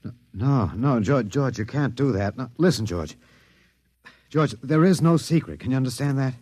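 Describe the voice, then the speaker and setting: A man playing a weary, gentle but stubborn middle-aged captive in a 1950s radio drama, hesitant and understated. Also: mid-range voice, lively intonation, moderate pace, no room sound, lightly acted. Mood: desperate pleading. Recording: dull, dry vintage 1950s radio recording.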